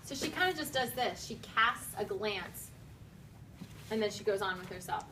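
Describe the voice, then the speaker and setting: A woman's voice: medium pitch, normal speed, distant mic. A woman teaching a class, giving clipped instructions and reading aloud expressively. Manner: directive, clipped commands alternating with expressive reading. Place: school classroom with pupils. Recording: noisy classroom, voice off the mic.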